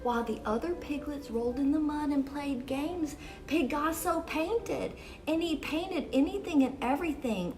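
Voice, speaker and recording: A woman reading a picture book aloud to children as a storyteller, expressive and exclamatory, with dramatic emphasis on key words. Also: high-pitched, far from the mic. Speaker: children's storyteller and event host. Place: University museum gallery.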